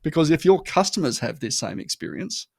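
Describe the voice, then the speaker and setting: A man with an Australian accent, a guest on a marketing podcast who talks in a lively, anecdotal way. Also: on the high side, a little fast, vocal fry, slightly rough, no room sound, clean studio sound. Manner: animated storytelling, acts out customer voices. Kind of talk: conversational interview.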